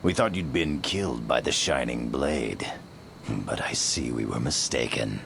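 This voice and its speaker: An actor playing a menacing, smug zealot villain in a video game, speaking in a taunting, theatrical way. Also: male voice, extremely low pitch, measured pace, creaky, fairly bright tone, a little hiss or hum, full character voice. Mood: smug menace. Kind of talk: video game cutscene acting.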